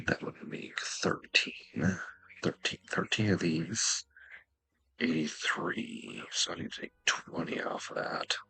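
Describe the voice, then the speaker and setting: Low, husky, gravelly man muttering over gameplay. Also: pushed and bright, no echo, in a whisper, rough recording. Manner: halting, muttering to himself. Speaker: gaming video host. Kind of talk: casual gameplay commentary, thinking aloud.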